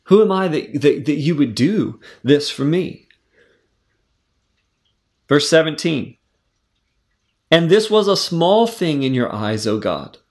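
A man giving a devotional Bible teaching, earnest and conversational, with fillers and restarts, slowing down when he reads verses aloud. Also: fairly high pitch, very animated. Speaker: preacher teaching scripture.

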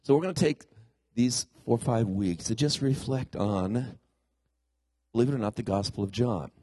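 A man's voice: medium pitch, normal speed, dark tone, no echo, studio quality. A middle-aged man, a pastor, preaching a conversational sermon in a congested voice that he puts down to a pulled tooth, often putting questions to the congregation. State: congested from a cold-like ailment after a tooth extraction.

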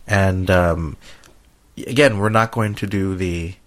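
A middle-aged American man hosting a casual conversational podcast, talking in a hesitant, halting way. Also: low-pitched. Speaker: podcast host.

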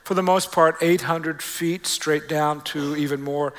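Male pastor, slightly high-pitched, conversational preaching. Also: fairly even intonation, unhurried, a bit bright, poor audio. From Australia. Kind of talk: sermon to a congregation. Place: church, preaching before a congregation.

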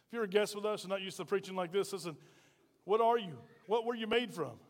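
A man's voice: high voice, fast. A middle-aged man preaching a sermon as pastor, emphatic and folksy, with repeated rhetorical questions. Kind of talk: sermon to a congregation. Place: church sanctuary. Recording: reverberant hall sound.

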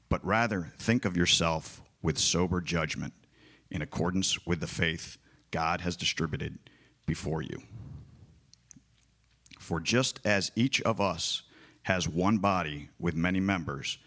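A man reading a long scripture passage aloud in church, in a slow and solemn way. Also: sits low, fairly bright tone. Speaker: scripture reader.

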